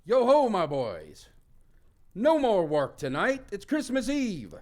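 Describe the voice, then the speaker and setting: A man's voice: high-pitched, huge pitch swings, slow, darkish tone, exaggerated voice. A man playing a jovial old merchant, calling out heartily in an audio play. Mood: jolly, boisterous.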